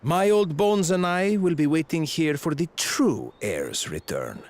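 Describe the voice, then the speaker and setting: An elderly man in a fantasy game, wry and weary. His voice sits a little high and swings very widely in pitch. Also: big pitch swings, unhurried. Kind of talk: scripted fantasy game acting.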